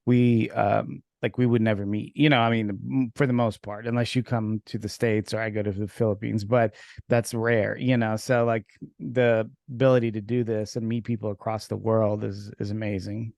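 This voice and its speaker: Gravelly low-voiced man hosting a podcast. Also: normal speed, no room sound, studio quality. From Ukraine. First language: Russian. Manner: relaxed, filler-heavy, laughs easily. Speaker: podcast host. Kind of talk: casual interview chat. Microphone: video call.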